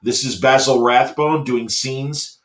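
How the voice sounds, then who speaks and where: A man's voice: medium pitch, fairly even intonation, slow pace. A middle-aged man hosting a show with casual, enthusiastic chat, rambling and often hesitating as he looks for the next phrase. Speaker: talk show host.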